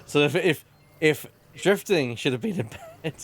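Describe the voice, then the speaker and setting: A man hosting a charity game stream, chatting in a relaxed, rambling way with a soothing voice. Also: on the high side, a little slow, slight background noise. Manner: relaxed and rambling, with frequent restarts and "um". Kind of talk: casual chat while playing a game.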